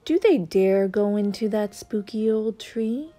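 A woman narrating a picture book aloud in a deliberate, suspenseful storytelling manner for young children. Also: medium pitch, expressive, mellow. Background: music.

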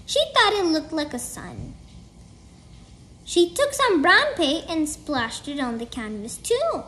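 A woman's voice: high-pitched, very animated, takes it slowly, pushed and bright. A woman reading a children's storybook aloud in a careful, word-by-word way. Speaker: storybook reader. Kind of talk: children's book read-aloud.

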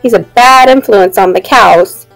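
A woman's voice: medium pitch, measured pace, gravelly, no echo, soft background music, low-quality recording. A woman voicing a bossy, suspicious male farmer in a children's storybook, with an insistent, ordering manner. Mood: stern.

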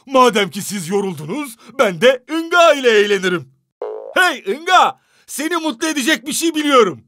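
A man voicing an excitable, kind-hearted toy dump truck in a children's cartoon, with exaggerated, expressive delivery. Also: very high voice, moderate pace, heavily acted. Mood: eager, upbeat.

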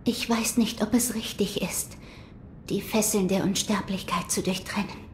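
An actress playing a gentle, mystical shrine maiden in an audio drama, speaking in a solemn, measured, hushed manner. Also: female voice, medium pitch, steady tone, in a whisper, lightly acted.

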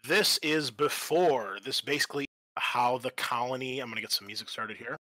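A man's voice: mid-range voice, normal speed, bright, forceful tone, no room sound. A man hosting a roleplaying podcast as game master, moving between casual chat and scene-setting narration. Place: online group call.

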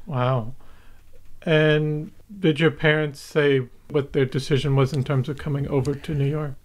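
A man's voice: on the high side, little pitch movement, a little slow, a little hiss or hum. A man hosting a local podcast, reading a formal, wordy introduction and then asking questions. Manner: formal, ornate wording. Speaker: podcast host. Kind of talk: scripted introduction and interview questions.

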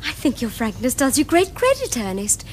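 A prim young lady in a Victorian television comedy. Her high voice moves in lively curves, precise and romantic, with an upper-class English accent. Faint noise sits on the recording. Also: normal speed. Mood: tender, formal. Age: young adult. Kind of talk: period stage comedy acting for television.

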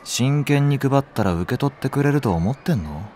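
A man's voice: mid-range voice, animated, moderate pace, darkish tone, a little hiss or hum, close to an everyday voice. An actor playing a cynical, lazy young male nursery teacher in an audio drama, with a flippant, teasing delivery. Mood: sardonic. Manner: flippant, sardonic. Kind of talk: Japanese audio drama acting.

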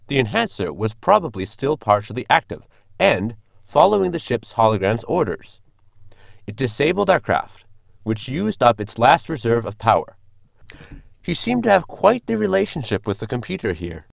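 A man's voice: mid-range voice, vocal fry, no room sound, studio quality. An actor playing a polite, dryly logical male android crewman in a formal, precise manner for a sci-fi comedy audio drama. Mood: matter-of-fact.

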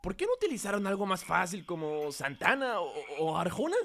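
A man's voice: high-pitched, sing-song, a little fast, gravelly, fairly bright tone, a little hiss or hum, full character voice. A man voicing a flamboyant, mischievous young cat bootlegger and poet in a cartoon dub, theatrical and teasing, with a Mexican Spanish accent. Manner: grandiloquent, teasing. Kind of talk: theatrical animated fandub. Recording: music behind the voice.

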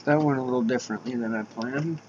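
A young man with a stuffy nose and raspy voice from a cold, rambling casually with lots of swearing while playing a game. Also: medium pitch, quick pace, darkish tone, dull audio, slight background noise. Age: young adult. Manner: rambling, swears often, many fillers. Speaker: gaming youtuber. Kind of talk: casual gameplay commentary.

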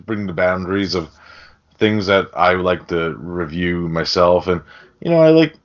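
A man with a low voice hosts a film podcast in a loose, rambling way. His talk is slangy and casually sweary. The audio is a bit dull and lo-fi. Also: lively intonation, unhurried. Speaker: podcast host.

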